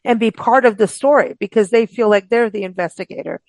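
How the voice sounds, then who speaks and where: A woman's voice: on the high side, fairly quick, darkish tone, no echo. A woman hosting a books show, talking in a casual, rambling, informal way as she interviews guests. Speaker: host of a books radio show.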